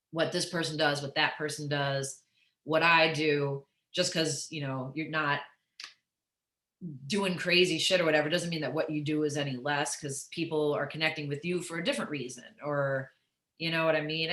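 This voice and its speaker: A woman's low, raspy voice with a forceful bright edge, recorded with noticeable room echo. She talks candidly and informally, like an interview guest, swearing easily and leaning on little conversational tags. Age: middle-aged. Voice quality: raspy alto. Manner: animated, profane, peppers talk with "like" and "you know". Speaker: interview guest, musician and comedian. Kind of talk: casual, candid interview chat. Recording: roomy echo.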